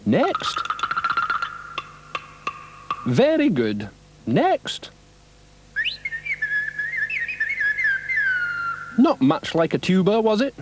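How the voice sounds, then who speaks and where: A man's voice: sits high, wildly swooping pitch, takes it slowly, heavy background noise, full character voice. Man as a swooping, officious storybook bird trainer. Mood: brisk, instructing. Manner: chatty, pompous, encouraging schoolmaster. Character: brisk, officious male trainer of birds. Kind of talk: children's storybook character voice.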